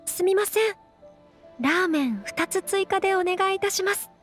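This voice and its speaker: Anime game heroine: courteous, refined young noblewoman, extremely high register, formal phrasing. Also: moderate pace, soft background music. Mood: polite. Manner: formal, polite.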